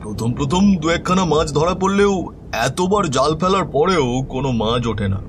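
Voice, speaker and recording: Man acting an indignant landowner, animated. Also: on the high side, a little fast, close to an everyday voice. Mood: worried. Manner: indignant, emphatic questioning. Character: irritated male city-bred landowner, worried and suspicious. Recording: music bed behind voice.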